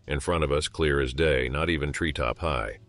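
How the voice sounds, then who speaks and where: A man calmly reading out a story. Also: rumbling low, moderate pace, faint music behind. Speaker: narrator. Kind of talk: scripted narration of a reader story.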